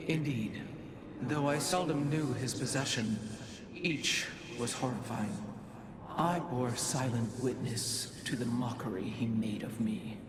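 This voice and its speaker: An actor playing a solemn, haunted, devout alien warrior in a science fiction game, speaking formally and gravely. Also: mid-range voice, unhurried, noisy background, natural voice. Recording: echoing, with cinematic score behind.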